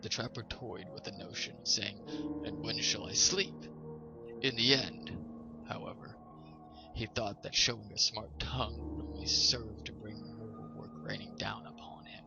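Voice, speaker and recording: A man's voice: on the low side, wildly swooping pitch, moderate pace, vocal fry. A man hosting a story reading, narrating in a storyteller's style. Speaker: host and story reader. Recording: slightly dull sound, music behind.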